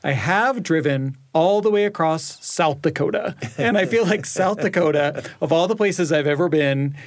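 A man telling an anecdote in casual conversation, with emphatic, thoughtful, slightly halting phrasing. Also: on the high side, expressive.

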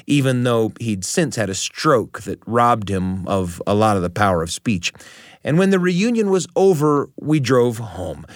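A middle-aged American man telling a personal story as a radio host, with deliberate, literary phrasing and dramatic repetition. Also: mid-range voice, dry recording, clean studio sound. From United States.

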